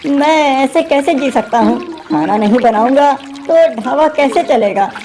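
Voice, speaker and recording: A woman voicing a humble young male food-stall cook, earnest and plaintive, in an animated moral story. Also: high voice, measured pace, soft background music. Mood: distressed.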